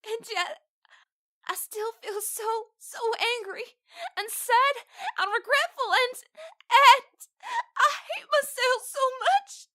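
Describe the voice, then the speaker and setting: A woman voicing a tender, guilt-ridden young female pony in an emotional fan-made audio drama, halting and pleading. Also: extremely high pitch, sharp tone, heavily acted. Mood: anguished. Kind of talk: amateur fan-fiction audio drama acting.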